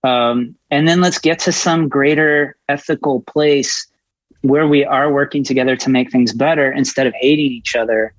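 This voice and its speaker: A man's voice: medium pitch, fairly even intonation, normal speed, no room sound. A middle-aged American man speaking earnestly and candidly as a podcast guest, emphatic and informal. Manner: impassioned, emphatic, informal with swearing. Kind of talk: earnest, candid interview conversation. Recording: dull, muffled lo-fi audio.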